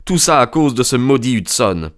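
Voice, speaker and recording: A man voicing a distraught young gentleman in an audiobook story, agitated and emphatic. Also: medium pitch, very animated, moderate pace, pitched above the performer's own voice, natural voice. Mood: agitated, bitter.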